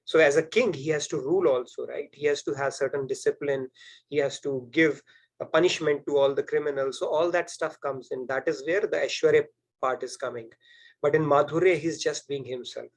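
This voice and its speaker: A man's voice: on the high side, brisk, poor audio. Fluent man explaining on a call. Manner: fluent, explaining, asks "right?". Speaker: host. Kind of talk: explanatory talk on a video call. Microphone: video call.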